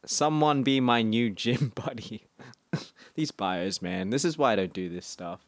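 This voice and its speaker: A man's voice: mid-range voice, a little slow, no room sound. A man co-hosting a casual podcast, joking and laughing often as he reads out dating profiles. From Australia. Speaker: podcast co-host. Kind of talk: casual banter.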